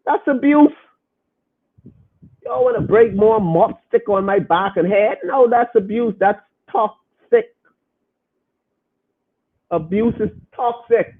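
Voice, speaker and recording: A man with a high voice hosts a podcast in a casual, preachy way, talking straight at his listeners. His voice comes through thin and narrow, as if over a phone line. He stresses his points hard. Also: animated, takes it slowly, pauses often. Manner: emphatic, preachy, addresses listeners directly. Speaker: podcast host. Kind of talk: casual commentary, reading listener comments.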